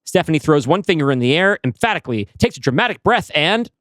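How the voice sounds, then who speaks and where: A man's voice: a little high, sing-song, quick pace, fairly bright tone, no room sound. A man narrating a scripted screenplay in the present tense with a dramatic storytelling manner.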